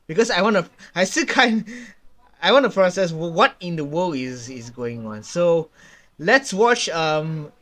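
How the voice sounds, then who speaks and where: A man's voice: a little high, expressive, fairly bright tone. A young man giving casual reaction commentary, repeating himself and searching for words as he goes. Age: young adult. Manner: halting, repetitive, searching for words. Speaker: reaction video host. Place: small home room, indoors. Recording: game trailer music playing behind.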